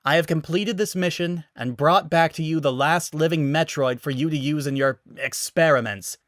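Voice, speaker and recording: A man voicing a hardened, conflicted female bounty hunter with a terse, forceful delivery in a dramatic story reading. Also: on the high side, moderate pace, dry recording, studio quality, pitched above the performer's own voice, close to an everyday voice. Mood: strained, forceful. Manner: terse, forceful, spitting out words.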